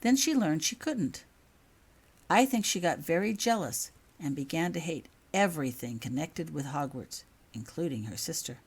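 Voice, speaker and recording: A woman voicing a kindly, calm, fatherly man with a measured, reassuring manner in a storybook reading. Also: low-pitched, husky, full character voice. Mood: reflective.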